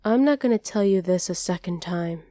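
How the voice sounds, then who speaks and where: A woman voicing a haughty, possessive dragoness captor in an ASMR roleplay, speaking to the listener in a condescending, deliberate way. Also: fairly low pitch, expressive, warm tone, no echo, clean studio sound, lightly acted. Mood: stern, condescending.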